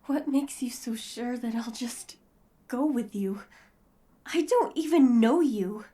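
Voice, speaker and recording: A young woman playing a wary, homeless heroine in her twenties, telling her story softly and with measure in an audio drama. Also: on the high side, slow pace, husky. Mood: wary, questioning. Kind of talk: first-person audio drama narration and dialogue.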